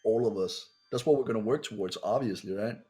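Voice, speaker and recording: A man's voice: on the low side, fast talker, dark tone, slightly rough, faint music behind. A man chatting casually with friends during a game, joking around and swearing freely. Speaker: player in a group playthrough. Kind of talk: casual chat.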